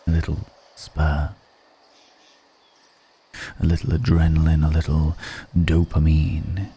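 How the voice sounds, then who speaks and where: A man playing an ancient, world-weary, seductive vampire in an intimate ASMR roleplay, speaking in a deliberate, brooding way with long pauses. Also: extremely low pitch, monotone, mellow, breathy edge, full character voice. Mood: seductive, intrigued.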